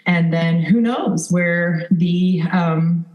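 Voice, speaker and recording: A woman's voice: on the low side, drawn-out words, lo-fi sound. A middle-aged woman with a Midwestern American accent, talking casually and informally as an interview guest. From rural southwest Iowa, United States. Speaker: podcast guest, advocate. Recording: echoey room sound.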